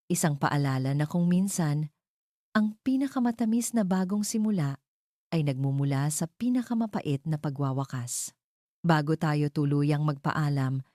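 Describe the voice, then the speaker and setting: A woman's voice: deep voice, mellow, no echo, clean studio sound. A woman storyteller reading a scripted romance narration in an earnest, sentimental and formal manner. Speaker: storyteller and channel host.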